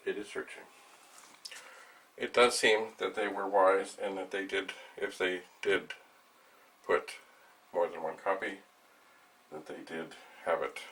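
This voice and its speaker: An older man hosting a vlog, thinking aloud in a rambling, halting way as he narrates a hands-on demonstration. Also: low voice, a bit bright. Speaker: vlog host, retro computer hobbyist. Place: small cluttered indoor room at home. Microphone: camera mic a few steps away. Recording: distant mic, noisy room.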